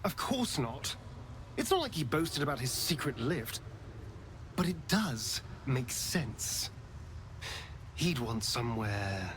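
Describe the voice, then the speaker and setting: A theatrical vampire spawn from a fantasy game, haunted and sardonic. He speaks slowly with breathy dramatic pauses, his pitch moving widely. Clear background noise sits behind him. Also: a bit bright. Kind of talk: dramatic video game acting.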